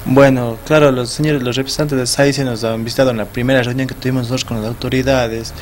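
A young man with an Ecuadorian Spanish accent answering questions in a small TV studio, talking haltingly with many restarts and repeated words. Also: medium pitch, little pitch movement, slight background noise. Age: young adult. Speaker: festival sponsor guest. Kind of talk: studio interview. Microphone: desk mic on stand.

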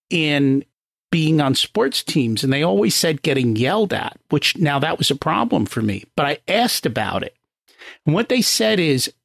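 A middle-aged man hosting a podcast interview, telling personal stories in a loud, animated way. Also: on the high side, gravelly, dry recording, clean studio sound. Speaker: podcast host. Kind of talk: conversational interview.

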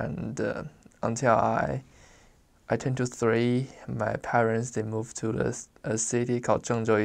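A young man from China speaks accented English as a second language, pausing often to find his words and laughing easily. His voice is gravelly with a flat, even pitch. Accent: Chinese. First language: Chinese. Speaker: interviewee. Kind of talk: casual life-story interview.

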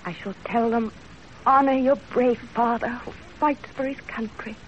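An actress in 1930s radio drama style playing a gentle, dutiful young Japanese wife with earnest, formal phrasing. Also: female voice, on the high side, fairly even intonation, unhurried, lightly acted. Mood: tender, resolute. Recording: dull, noisy 1930s radio recording.

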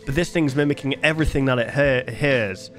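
A man's voice: medium pitch, a little fast, fairly bright tone, soft background music. A man in his twenties giving casual reaction commentary into a close desk microphone. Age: 20s. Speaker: reaction video host. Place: bedroom gaming setup, indoors.

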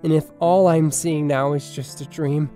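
A man voicing a tender, lovestruck male fox in a comic dub, speaking in halting, earnest wonder. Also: a little high, steady tone, warm tone, soft background music, close to an everyday voice. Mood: tender devotion. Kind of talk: dramatic comic dub monologue.

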